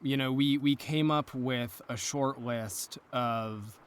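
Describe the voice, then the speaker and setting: Mid-pitched man, casual interview talk. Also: slow, slight background noise. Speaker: audio drama producer and dramaturg.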